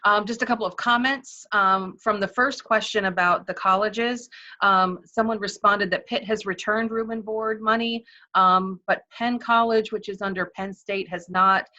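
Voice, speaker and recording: A woman's voice: mid-range voice, steady tone, normal speed, bright, forceful tone. A woman moderating a conference call, informally relaying questions and comments from the group. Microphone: conference call line. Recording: dull, lo-fi conference call audio.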